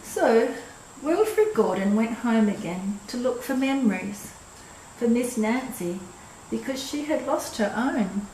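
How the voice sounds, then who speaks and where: An older woman reading a children's storybook aloud in a measured, expressive way. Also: a little high. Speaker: storyteller reading a picture book. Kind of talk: scripted storybook narration for children. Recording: roomy echo with clear background noise.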